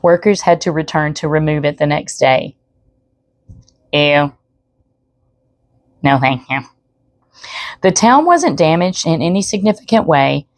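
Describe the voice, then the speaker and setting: Low-pitched woman reading stories aloud. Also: animated, measured pace, pauses often, dry recording. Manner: chatty asides to the listener. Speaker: storyteller. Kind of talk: expressive read-aloud narration.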